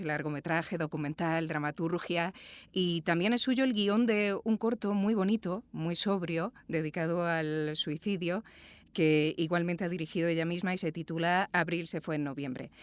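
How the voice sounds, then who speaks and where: A woman's voice: on the low side, clear, resonant tone, no echo. A woman hosting a podcast interview, effusive and chatty. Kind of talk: warm podcast presenting and interviewing. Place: small sound booth.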